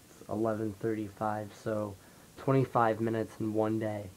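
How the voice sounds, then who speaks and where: A low, flat-voiced teenage guy with a raspy, dry-throated sound, rambling softly through a personal vlog. He leans on lots of fillers as he opens up to the camera. A faint rumble sits underneath. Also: normal speed, low-quality recording. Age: late teens. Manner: soft-spoken, rambling, heavy on fillers. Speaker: vlogger. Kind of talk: confessional casual monologue.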